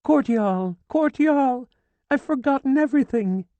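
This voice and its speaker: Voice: animated, a little slow, no echo, phone-quality sound. A reader voicing a frantic, grieving older woman who pleads and nearly screams, in an audiobook. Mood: hysterical pleading. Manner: shrill, pleading, near screaming. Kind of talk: audiobook character voice.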